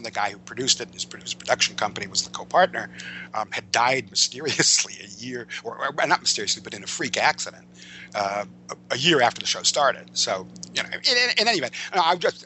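An older American man speaking as an interview guest, reminiscing in a discursive, halting way and often correcting himself. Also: medium pitch, sharp tone, slight background noise, low-quality recording. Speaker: podcast guest, veteran writer.

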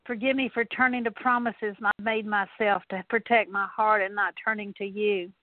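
Woman praying, earnest, says sorry over and over, flat tone, dry thin phone sound. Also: mid-range voice, moderate pace, no echo. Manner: earnest, repetitive litany of confessions. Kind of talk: spoken prayer of repentance. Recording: tinny phone-like line, dry.

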